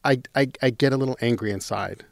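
A man's voice: medium pitch, quick pace, creaky, no room sound, clean studio sound. A man hosting a radio podcast, chatting in a playful, joking way. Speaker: podcast host. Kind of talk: casual radio chat.

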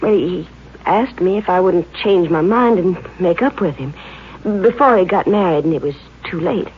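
An actress playing a shaken young rancher's daughter, speaking haltingly in mid-century radio drama style. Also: female voice, fairly low pitch, lively intonation, slight background noise, close to an everyday voice. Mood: uneasy. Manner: halting, breathless, hesitant. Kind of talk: 1940s-50s radio drama acting. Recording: muffled, distorted old-time radio recording.

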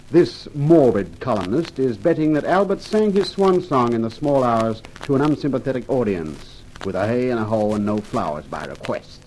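An actor playing a shrewd, quietly menacing male police chief in a 1950s radio crime drama, dry and sarcastic. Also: medium pitch, natural voice. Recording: slightly noisy vintage radio recording.

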